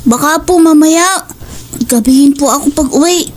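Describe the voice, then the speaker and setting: A woman's voice: high-pitched, normal speed, lightly acted. A woman playing a dutiful, polite schoolboy in an audio horror drama. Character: dutiful, polite schoolchild devoted to mother, a boy.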